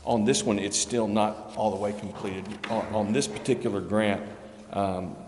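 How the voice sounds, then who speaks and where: A very deep, monotone man picks his way through a council explanation, pausing on ums and correcting himself. He is picked up in a reverberant council chamber with a long echo and a faint hum behind him. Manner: hesitant, many ums and restarts. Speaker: city staff member presenting grant details.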